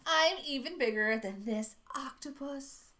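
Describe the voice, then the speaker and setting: A woman's voice: high-pitched, measured pace, fairly bright tone. A woman voicing a boastful, goofy male squid from a picture book, bragging exuberantly.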